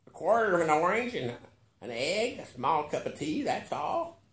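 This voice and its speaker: A man voicing a wizened old billionaire in a story, slow and deliberate, with naive, smug, matter-of-fact answers. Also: fairly high pitch, big pitch swings, a bit bright, reverberant, voice pushed up. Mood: mild, matter-of-fact. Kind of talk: storybook character voice in a short story reading.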